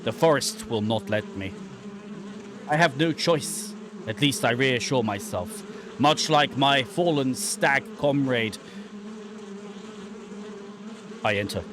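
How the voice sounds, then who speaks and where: A man voicing a haunted, guilt-ridden man lost in dark woods, reading horror game narration in a theatrical, halting way. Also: medium pitch, noisy background, natural voice. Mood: grim despair. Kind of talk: dramatic first-person reading of horror visual novel text.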